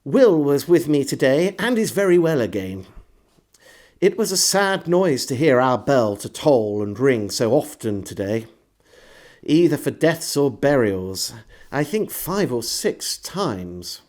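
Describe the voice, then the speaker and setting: A man's voice: medium pitch, big pitch swings, slow, darkish tone. A man reading an old diary aloud as a storyteller, with theatrical, varied phrasing. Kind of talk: expressive reading of a 17th-century diary.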